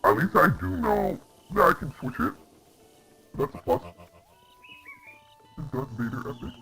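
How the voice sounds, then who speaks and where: A man's voice: medium pitch, sing-song, a little slow, pushed and bright, faint music behind, rough recording. Young man chatting on a game stream. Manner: chatty, reacts aloud to the game and to chat. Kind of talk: casual livestream chat while gaming. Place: at home. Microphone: laptop microphone.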